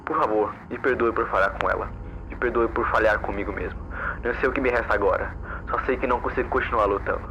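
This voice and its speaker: A man's voice: fairly low pitch, fairly even intonation, fast talker, highs cut off, noisy background, close to an everyday voice. A man voicing a grieving, guilt-ridden young man turning monster, reading a despairing confession in an analog horror story. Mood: despairing, remorseful.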